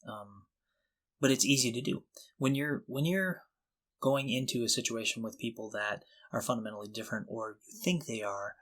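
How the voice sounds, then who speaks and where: A middle-aged man talks casually as a podcast co-host. His voice sits at an average male pitch, and he comes across as reflective and sincere, speaking in a conversational way. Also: fairly bright tone.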